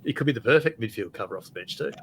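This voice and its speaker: A man's voice: mid-range voice, lively intonation, rapid-fire, fairly bright tone, a little hiss or hum, rough recording. A man hosting a rugby podcast in casual chat, steering the talk and asking follow-up questions. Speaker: podcast host.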